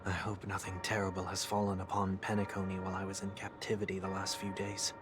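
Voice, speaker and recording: An actor playing a courteous, melancholy young man in exile, speaking in a polite, measured, formal way in a video game. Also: sits low, monotone, over music, natural voice. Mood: solemn, resigned. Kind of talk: scripted video game dialogue.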